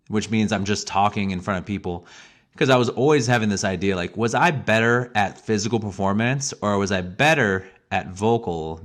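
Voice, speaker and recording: A man's voice: lowish voice, a bit bright. A young man from Missouri with a Missouri accent, hosting a solo podcast in a rambling, self-deprecating monologue. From Missouri, USA. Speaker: podcast host.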